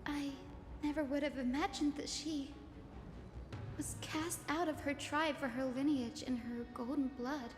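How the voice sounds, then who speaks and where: A woman playing a sweet, earnest young heroine in a video game, speaking in a gentle, wondering way. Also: high voice, steady tone, unhurried. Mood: shocked. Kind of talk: video game dramatic dialogue. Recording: echoing hall reverb, music behind. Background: game music.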